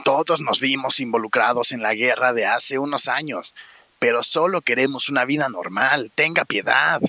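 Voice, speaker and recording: An actor playing a weary war survivor pleading for mercy, earnest, with a sharp, gravelly, husky voice, in a Spanish-language radio drama. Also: male voice, mid-range voice, normal speed, no echo.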